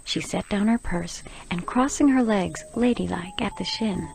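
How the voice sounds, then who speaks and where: A woman with a gravelly, husky voice, narrating a comic story in rhyme. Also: mid-range voice, moderate pace, a little mellow, lightly acted, rough recording.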